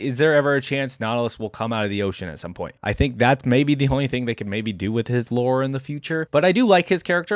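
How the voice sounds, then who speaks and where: A man's voice: mid-range voice, no room sound, studio quality. A man hosting a reaction video, giving casual commentary, rambling as he thinks aloud and sometimes reading text out.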